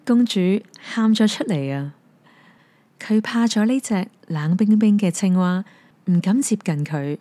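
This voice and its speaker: Mellow-voiced Hong Kong Cantonese storyteller. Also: female voice, medium pitch, unhurried, no echo. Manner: gentle, even storytelling. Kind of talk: fairy tale narration. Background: soft piano music.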